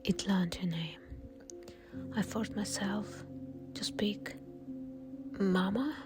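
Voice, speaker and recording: A woman narrating a horror story in a breathy, unvoiced whisper. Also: mid-range voice, steady tone, takes it slowly. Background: soft music.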